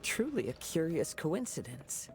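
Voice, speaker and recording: A man voicing a calm, courteous young male wanderer in a game, poetic and measured in speech. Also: a little high, vocal fry, heavy background noise, full character voice. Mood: calm, wry. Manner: measured, formal. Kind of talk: game character voice acting.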